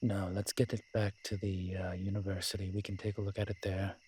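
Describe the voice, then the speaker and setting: A man playing a sly, worldly incubus demon in an audio roleplay, husky and sardonic, talking in an unhurried, conversational way. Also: low voice, level tone, a little mellow, slight background noise, natural voice. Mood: musing, cautious. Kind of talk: dramatic character roleplay acting.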